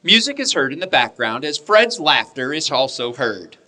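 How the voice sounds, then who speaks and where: A man's voice: mid-range voice, sing-song, normal speed, sharp tone, soft background music. A man delivering scripted narration for a radio play.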